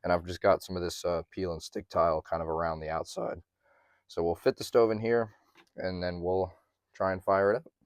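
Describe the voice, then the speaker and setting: Guy for a diy van vlog, very low, a bit hoarse, dry close sound. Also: moderate pace, no room sound. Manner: relaxed, chatty, many ums and throat clearing. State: slightly hoarse, losing his voice. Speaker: van life vlogger. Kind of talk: casual how-to narration to camera.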